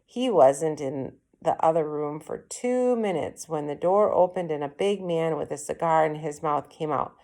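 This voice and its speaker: A woman's voice: low voice, moderate pace, hardly any pauses, warm tone. A woman reading a children's story aloud as a first-person narrator. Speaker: read-aloud reader.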